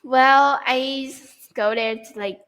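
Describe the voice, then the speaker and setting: Slow, hesitant woman. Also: high voice, fairly even intonation, a bit bright. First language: Vietnamese. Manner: hesitant, searching for words. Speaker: English learner. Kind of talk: casual conversation lesson.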